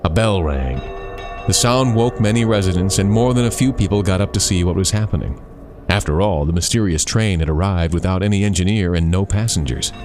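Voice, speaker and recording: A man reading a scary story as a storyteller, with suspenseful, deliberate dramatic phrasing. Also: sits low, over music.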